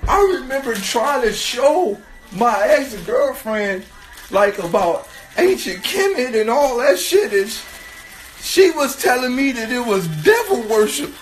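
A man in his thirties from with a hoarse voice, talking earnestly and repetitively into a phone held at arm's length in a small room. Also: very high voice, very animated, a little slow, faint music behind, poor audio. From Watts, California. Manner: earnest, repetitive, building to raised voice. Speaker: vlogger. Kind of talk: casual selfie monologue.

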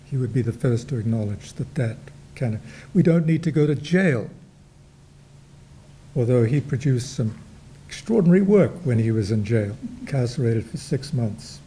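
An older man with a New Zealand accent, moderating a gallery panel discussion in slow, reflective remarks with touches of dry humor. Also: medium pitch, animated, dark tone, breathy edge. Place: gallery room with a panel table.

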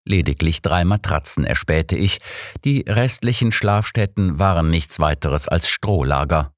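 Deep-voiced man narrating a mystery audiobook. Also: no room sound, studio quality. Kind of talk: scripted first-person mystery narration.

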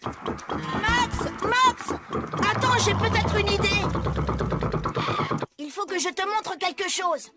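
Young cartoon tractor, kind, earnest, eager helper, extremely high pitch. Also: female voice, lively intonation, slow, pauses often, loud music behind the voice, full character voice. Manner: bright-spirited, caring, earnest. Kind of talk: children's cartoon acting.

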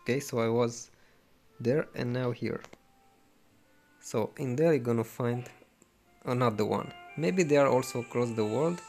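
A man hosting a casual gameplay walkthrough, low-key and halting, sometimes repeating himself. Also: a little mellow, faint music behind. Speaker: gameplay video host. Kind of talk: casual game walkthrough commentary.

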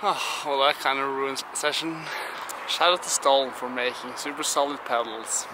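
A man's voice: mid-range voice, normal speed, background noise. A man in his twenties chatting casually and energetically to an action camera he holds at arm's length in an outdoor skatepark. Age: 20s. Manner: upbeat, energetic. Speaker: BMX rider presenting a vlog. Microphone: action camera held at arm's length.

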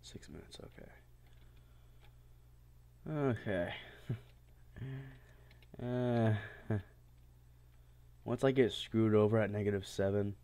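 A man casually commentating a game, congested, laughing often and yelling when he fails. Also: lowish voice, takes it slowly, long pauses. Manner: laughs often, yells at failures. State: congested, stuffy-sounding. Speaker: gameplay commentator. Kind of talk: casual let's-play commentary.

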